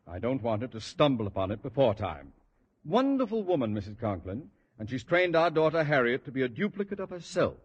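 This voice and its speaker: Blustering pompous principal. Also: male voice, medium pitch, big pitch swings, brisk, breathy edge, lo-fi sound. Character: pompous blustering middle-aged male school principal. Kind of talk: 1950s radio sitcom acting.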